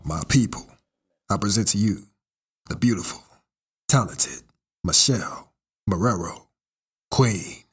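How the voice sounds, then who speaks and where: A man's voice: fairly low pitch, unhurried, long pauses, husky. A man hosting a poetry show, delivering motivational spoken word. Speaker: show host and poet.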